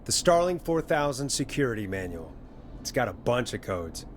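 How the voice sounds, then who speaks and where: A man acting a steady, helpful small-town radio DJ in a video game, with a composed delivery. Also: medium pitch, moderate pace, slight background noise, close to an everyday voice. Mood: calm.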